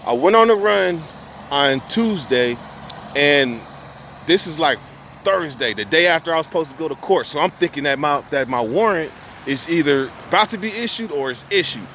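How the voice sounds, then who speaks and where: A man's voice: on the high side, expressive, brisk, fairly bright tone, a little hiss or hum. A young man telling a long story to his viewers in casual street slang, cussing and restarting his sentences often. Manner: profane, rambling, street slang; restarts and repeats himself often.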